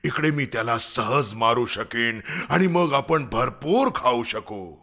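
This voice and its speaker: A man voicing a hungry, injured lion king in a children's animal story, gravelly and regal, giving commands. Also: mid-range voice, animated, slow pace, sharp tone, full character voice. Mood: weary, commanding.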